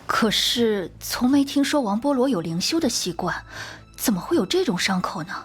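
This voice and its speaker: A woman voicing an earnest young woman involved in a crime investigation in an animated drama. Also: fairly high pitch, normal speed, husky, soft background music, full character voice. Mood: polite, puzzled.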